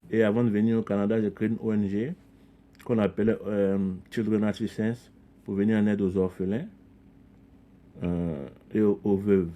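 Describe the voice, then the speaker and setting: Low, mellow-voiced man reflecting earnestly. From Ivory Coast. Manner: earnest, reflective, frequent repetitions and restarts. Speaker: podcast guest, community leader. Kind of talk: conversational interview.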